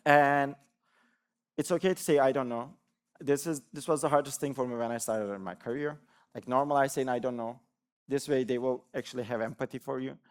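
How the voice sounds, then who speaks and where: A man from Turkey, Turkish being his native tongue, speaks to a conference audience of developers. His voice sits in an ordinary middle pitch, and his delivery is earnest and encouraging. He often restarts words and leans on "like" as a filler. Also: steady tone, brisk, smooth. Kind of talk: conference talk.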